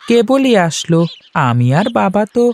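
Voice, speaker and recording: A woman's voice: medium pitch, big pitch swings, measured pace, darkish tone. Woman voicing an innocent little village boy. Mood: dutiful. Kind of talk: cartoon dialogue acting.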